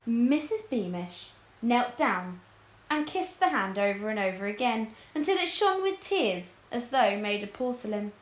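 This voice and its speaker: A woman reading a children's book aloud as scripted, expressive narration. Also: fairly high pitch, measured pace. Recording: roomy, echoing indoor sound.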